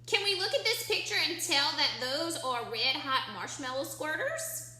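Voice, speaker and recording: A woman reading a picture book aloud to children like a teacher, expressive and encouraging, drawing out key words and putting questions to her listeners. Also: high-pitched, normal speed. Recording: echoey room, faint background noise.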